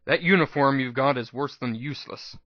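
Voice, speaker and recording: A man's voice: mid-range voice, a little fast, no echo, lo-fi sound, natural voice. A man voicing a brash, hot-tempered female pony gunslinger with blunt, emphatic delivery in a dramatic audiobook reading. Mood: wry, concerned.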